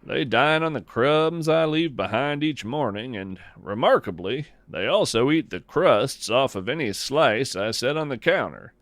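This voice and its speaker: A man playing a whimsical, gentle naturalist host, musing in a folksy, wry way through a scripted podcast monologue. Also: medium pitch, lively intonation, unhurried, hardly any pauses, dry recording. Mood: musing, fond. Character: whimsical, gentle naturalist host chatting over breakfast at home.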